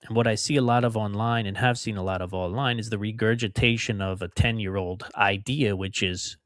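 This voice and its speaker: A man's voice: on the low side, fairly even intonation, fairly quick, no echo, clean studio sound. A middle-aged man from outside Philadelphia hosting a solo podcast, talking in a rambling, conversational way with emphatic repetitions. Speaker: podcast host.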